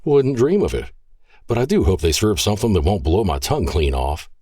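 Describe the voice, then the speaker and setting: A man's voice: low voice, big pitch swings, brisk, dark tone, breathy edge, no echo, clean studio sound, heavily acted. A man doing an easygoing joking guy. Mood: jokey. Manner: mock-surrendering, joking.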